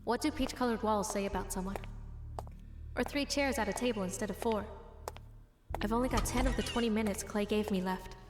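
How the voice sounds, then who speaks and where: A woman's voice: medium pitch, fairly quick, pauses often, music in the background, close to an everyday voice. A woman playing an anxious, self-doubting but determined young college woman in a comic dub, awkward and questioning herself. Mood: panicked self-reproach. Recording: long reverb, clear background noise.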